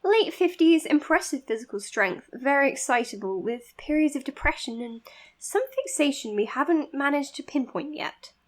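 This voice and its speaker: A woman playing an earnest, modest young psychiatrist in an audio drama, speaking in a measured, precise, slightly hesitant way. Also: high voice, expressive. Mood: intrigued, clinical. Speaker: doctor being interviewed on a podcast. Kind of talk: audio drama acting, natural interview and voice notes.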